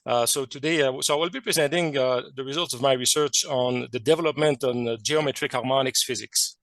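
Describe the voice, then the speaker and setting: A man's voice: mid-range voice, a little fast, a bit bright, no room sound. A man from Quebec with a French Canadian accent, French as his first language, giving a technical presentation over a video call with many fillers and restarts.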